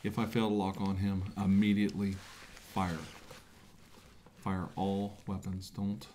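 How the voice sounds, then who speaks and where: Low-voiced earnest mission leader played by a man. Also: monotone, a little slow, warm tone, a little hiss or hum. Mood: earnest, commanding. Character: earnest middle-aged male scientist leading an armed mission. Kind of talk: amateur sci-fi role-play, briefing a team.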